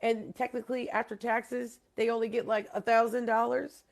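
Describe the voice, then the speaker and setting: Woman host from Hawaii, gravelly voice, rambling emphatic talker, keeps quizzing her guests. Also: fairly high pitch, flat delivery, moderate pace. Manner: rambling, emphatic, asks listeners questions. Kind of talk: casual debate chat.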